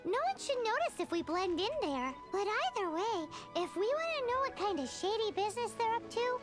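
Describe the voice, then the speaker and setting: A woman's voice: very animated, moderate pace. A woman voicing a tiny, cheeky female fairy companion in a video game, who teases others and calls herself by name. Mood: thoughtful. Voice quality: very high, squeaky. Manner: teasing, talks about herself in the third person. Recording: game music loud behind. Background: game music.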